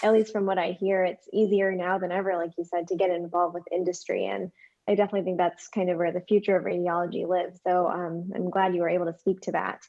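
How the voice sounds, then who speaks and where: Young female interviewer, quick and chatty, affirming, heard through muffled video-call audio. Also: on the low side, level tone, vocal fry, mellow. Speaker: interviewer, host. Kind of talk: casual interview chat.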